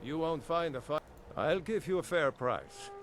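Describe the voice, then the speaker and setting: An actor playing a plain-spoken, weary ship captain for hire in a fantasy video game. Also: male voice, on the high side, lively intonation, unhurried. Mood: matter-of-fact. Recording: loud game music and ambience over voice. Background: loud game music.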